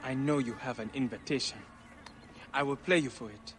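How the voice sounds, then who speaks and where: An actor playing an earnest young hustler in a video game, pleading and insistent. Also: male voice, mid-range voice, moderate pace, lightly acted. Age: young adult. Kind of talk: dramatic video game acting. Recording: loud background music.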